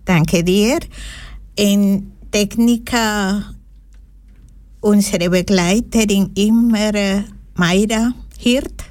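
A woman's voice: mid-range voice, extremely slow, darkish tone. A woman hosting a community radio interview from a studio microphone, hesitant and full of small restarts. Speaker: radio host.

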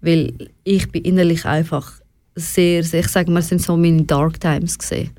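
A woman's voice: on the low side, steady tone, moderate pace, warm tone, no room sound. A young woman with a Swiss German accent talking candidly and reflectively as an interview guest, dropping in English words. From Switzerland, of Afghan family. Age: young adult. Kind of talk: personal, reflective conversation.

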